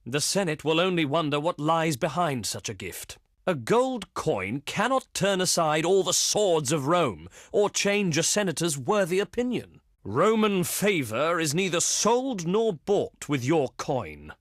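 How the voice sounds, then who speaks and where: A haughty Roman diplomat for a strategy game, delivers formal lines with condescending grandeur. His voice sits a little high and swings widely in pitch, like a practiced orator. The sound is close and dry. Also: very animated, unhurried, no echo. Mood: haughty refusal. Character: haughty Roman diplomat, male envoy of the Senate. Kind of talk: theatrical scripted game dialogue.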